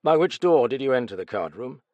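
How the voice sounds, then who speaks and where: Casting: a cool detective, measured and probing, dry and close, as a male narrator voices him. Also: medium pitch, sing-song, darkish tone, no echo, lo-fi sound, natural voice. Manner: measured, questioning. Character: calm, probing male investigator.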